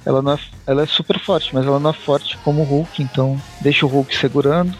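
A man's voice: mid-range voice, steady tone, quick pace, faint music behind. A Brazilian man co-hosting a casual podcast, recapping a comic story with loose asides and frequent laughs. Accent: Brazilian Portuguese. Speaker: podcast co-host. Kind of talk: casual comic review chat.

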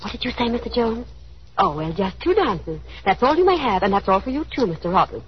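A woman's voice: a little high, sing-song, brisk, slight background noise. An actress playing a hopeful young small-town woman who puts on carefree airs, chattering and gushing nervously in a 1930s radio drama style. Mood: coquettish. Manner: girlish, chattering, nervously gushing to cover embarrassment. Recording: muffled 1930s radio broadcast.

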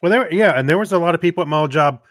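A middle-aged man chats as a podcast co-host in a mid-range voice. He speaks in a casual, halting ramble, recorded dry with very little room sound. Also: on the high side, a bit bright, no room sound. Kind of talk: casual chat.

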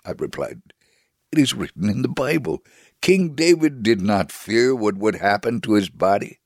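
A devout male Holocaust survivor recounts his memoir. The voice is fairly low and close, with no room sound. He tells it in a measured, solemn, earnest way. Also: lively intonation, a little mellow, no echo. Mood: solemn, assured. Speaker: dramatic reader. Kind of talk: dramatic first-person memoir reading.

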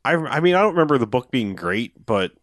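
A man's voice: medium pitch, sing-song, a little fast, fairly bright tone, dry recording, studio quality. A man hosting a casual movie podcast, rambling with lots of fillers and swearing.

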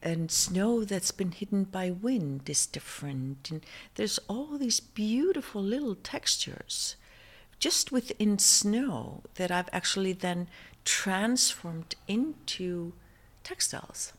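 A woman's voice: medium pitch, expressive, slow. A middle-aged Icelandic woman, a fashion designer being interviewed, speaking English with an Icelandic accent, hesitant and full of fillers and restarts. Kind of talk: interview conversation.

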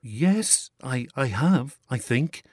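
A man's voice: medium pitch, animated, slow pace, darkish tone, breathy edge, no room sound, clean studio sound, pitched above the performer's own voice. A man voicing a wary seven-year-old boy who stammers a little. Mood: unsure. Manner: hesitant, stammering.

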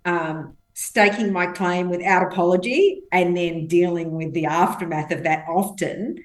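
A woman with a fairly low voice speaks as a guest in a conversational interview. English is not her first language. She tells stories emphatically and acts out what people said. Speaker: podcast guest.

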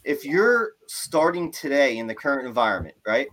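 A man hosting a casual interview chat, talking in an animated, rambling way with lots of "like" and "right?". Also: mid-range voice, moderate pace, low-quality recording. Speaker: podcast host.

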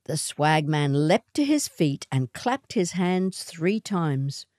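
A woman reading a short story aloud in a deliberate, theatrical storytelling style. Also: low-pitched, big pitch swings, a little mellow, dry recording, studio quality. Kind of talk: dramatic scripted storytelling.

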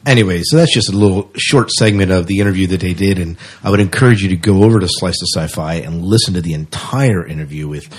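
A man with a very low, husky voice co-hosts a podcast. He talks in a casual, conversational style, presenting news items as part of a chat. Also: fast talker, hardly any pauses. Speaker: podcast co-host.

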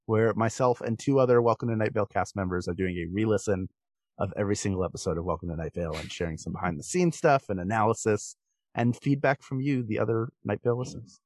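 A man's voice: fairly low pitch, fast talker, a little mellow, dry recording. Man chatting casually, dry close sound. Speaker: podcast guest. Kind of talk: casual podcast conversation.

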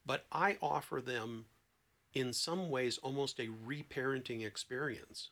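A man who works as a therapist, talking conversationally as a podcast guest and telling anecdotes in an expressive, emphatic way. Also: medium pitch, a little slow. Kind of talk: conversational explanation with anecdotes and acted-out quotes.

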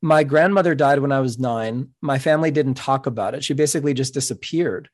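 A middle-aged man whose first language is Spanish, speaking as a podcast guest in an earnest, measured, explanatory way. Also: mid-range voice, a little mellow. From New York City. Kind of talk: reflective interview talk.

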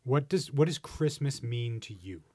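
A man's voice: mid-range voice, expressive, normal speed, mellow, breathy edge. A man hosting a casual podcast, asking questions with teasing, jokey banter. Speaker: podcast host.

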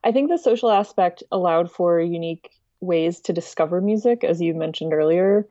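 A woman giving measured, explanatory answers as a guest in a podcast interview. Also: lowish voice, mellow, no room sound. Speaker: podcast guest, music archive director. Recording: dull lo-fi sound.

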